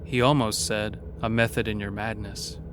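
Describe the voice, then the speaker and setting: A man's voice: on the low side, fairly even intonation, normal speed, a bit bright, a little hiss or hum. A man narrating a scripted horror story in a dramatic storytelling style.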